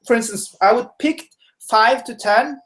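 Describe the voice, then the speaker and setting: A man's voice: extremely high pitch, measured pace, a bit bright. A man from Norway, a guest expert giving casual, filler-heavy answers in a webinar interview from his home office. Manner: casual, chatty, lots of fillers and restarts.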